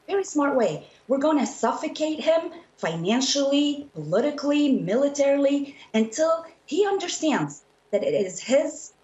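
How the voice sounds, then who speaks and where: A woman's voice: high-pitched, big pitch swings, normal speed, hardly any pauses, darkish tone. Emphatic woman activist in a roomy, dull recording. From Syria. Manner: emphatic, insistent, repeats phrases. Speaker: activist and debate guest. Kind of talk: impassioned political debate on a TV show.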